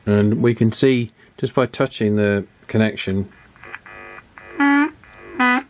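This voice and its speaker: A man's voice: lowish voice, huge pitch swings, takes it slowly, slight background noise, low-quality recording. A man working at a bench, talking casually through a radio repair as he thinks aloud, with fillers and restarts. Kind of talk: casual workbench tutorial.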